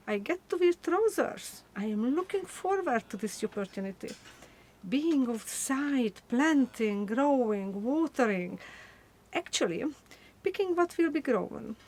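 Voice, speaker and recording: Radio play: eager young 1940s sister, played by a woman, earnest letter monologue, highish voice, very measured pace. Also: big pitch swings, a little mellow, rough recording. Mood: proud, hopeful. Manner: earnest, deliberate. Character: eager, proud young wartime woman writing to her sister.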